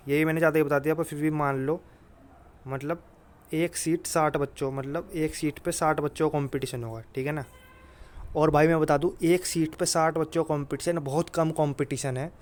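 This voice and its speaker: A man's voice: fairly high pitch, a little mellow. A man giving informal exam guidance like a coach, repeating his points emphatically and often addressing listeners directly. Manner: repetitive, emphatic reassurance with frequent filler address.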